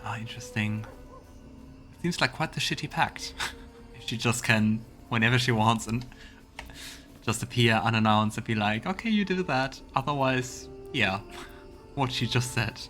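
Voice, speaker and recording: Guy doing a chill lets play, thinking out loud, medium pitched voice, game music going behind him. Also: lively intonation, a little slow, long pauses. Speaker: let's play host. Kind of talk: casual game commentary.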